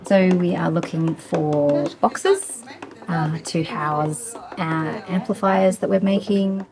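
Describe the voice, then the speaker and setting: A woman's voice: fairly low pitch, steady tone, slow pace, background noise. A woman giving informal, chatty commentary as she works. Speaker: artist and workshop participant. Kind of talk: informal spoken commentary while working. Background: music behind the voice.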